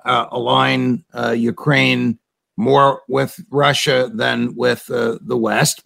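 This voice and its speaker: A man with a heavily gravelly, creaky voice at an ordinary mid pitch, hosting an interview. He builds pointed, leading questions, laying out a chain of events before he presses his guest for an answer. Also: a bit bright. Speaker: interview host.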